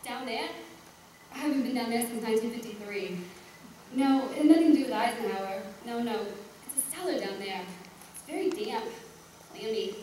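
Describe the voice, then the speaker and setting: A woman's voice: on the high side, mellow, lightly acted. Echoey, distant, embarrassed old woman, acted by a woman. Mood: reluctant, dismissive. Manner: halting, self-interrupting, dismissive asides. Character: reluctant, embarrassed seventy-two-year-old woman, chatty and blunt. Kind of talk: theatrical monologue. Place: echoey room, recorded from far off. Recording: echoey room, distant mic, faint noise.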